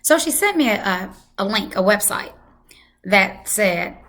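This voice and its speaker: A woman hosting a health channel, hoarse from losing her voice, talking casually and emphatically to her viewers. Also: medium pitch, lively intonation, measured pace, fairly bright tone. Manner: emphatic, repeats for effect. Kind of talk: casual talk to camera.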